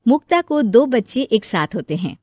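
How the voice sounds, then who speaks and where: A woman narrates a scripted moral story. Her voice is high-pitched, and the recording is dry and close. She tells it as a storyteller would. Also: very animated, moderate pace, darkish tone, dry recording.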